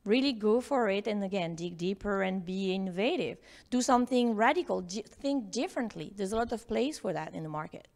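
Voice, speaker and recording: A woman's voice: medium pitch, animated, a little fast, few pauses, a little mellow. A woman leading a live workshop for students, urging them to share their ideas and asking them direct questions. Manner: coaxing, questions the audience directly. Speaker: workshop speaker. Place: lecture room with audience.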